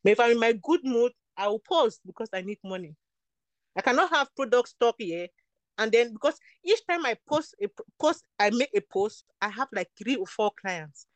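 A woman's voice: a little high, lively intonation, normal speed, dry recording. A young woman from Cameroon, a beauty entrepreneur, talking candidly in an interview, emphatic and repeating her points. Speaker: guest, beauty product entrepreneur. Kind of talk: candid casual interview talk.

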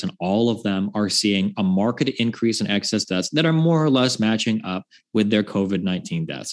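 A man in a heated debate, rebutting quickly and insistently with sarcasm. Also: medium pitch.

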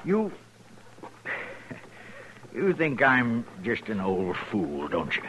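An actor playing a headstrong old rancher in a 1950s radio western, speaking in a slow, halting, stubborn way. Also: male voice, mid-range voice, wildly swooping pitch, a bit bright, full character voice. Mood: wounded. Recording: noisy vintage radio recording.

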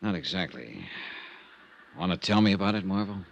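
An actor playing a cool, wry, persistent insurance investigator in a 1950s hardboiled radio drama. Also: male voice, low-pitched, sing-song, normal speed, creaky, highs cut off, full character voice. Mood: probing. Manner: wry, probing. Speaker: lead character and narrator. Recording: noisy 1950s radio recording.

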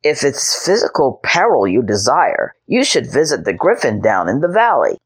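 A woman voicing a patient male cyclops who explains things calmly. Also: very deep voice, normal speed, husky, exaggerated voice. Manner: calm, explaining. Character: patient, calm male one-eyed giant.

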